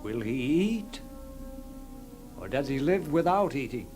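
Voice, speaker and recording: A man's voice: medium pitch, big pitch swings, unhurried. A prison keeper in a radio drama. He is plain and officious in manner, speaking in a middling male register with music underneath. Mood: questioning.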